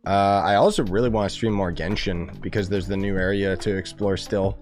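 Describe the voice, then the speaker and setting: A man's voice: low voice, steady tone, brisk, darkish tone, faint music behind. A man live streaming a game with casual, rambling, slangy commentary, his voice a bit worn from coughing. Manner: informal, rambling, gamer slang. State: losing voice, coughing.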